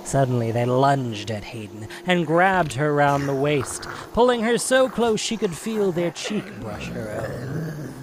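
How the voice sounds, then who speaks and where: A man's voice: on the high side, sing-song, a little slow, background noise. A man narrating an audio drama, reading scripted prose in a dramatic storytelling style.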